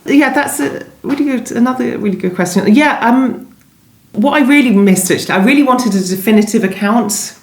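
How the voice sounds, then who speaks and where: A woman's voice: a little high, normal speed. A woman speaking conversationally as an interviewed novelist, in a discursive way, often interrupting and rephrasing herself. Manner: discursive, self-interrupting, hedging with "sort of". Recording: echoey room.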